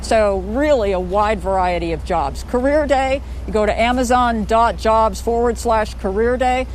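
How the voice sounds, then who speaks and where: A woman's voice: a little high, normal speed, a little mellow, slight background noise. A woman speaking as a company representative, giving promotional answers in a live interview.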